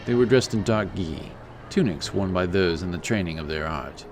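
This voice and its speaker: A man's voice: low-pitched, lively intonation, moderate pace, gravelly, noisy background. A man giving scripted narration for a fantasy audiobook, in the role of storyteller.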